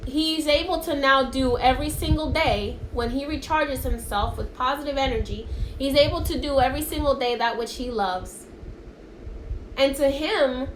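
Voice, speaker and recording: A woman's voice: sits high, fairly bright tone. A woman whose first language is Spanish, giving a live-streamed spiritual card reading in a chatty, encouraging way that keeps checking in with her viewers. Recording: echoey room, faint background hiss.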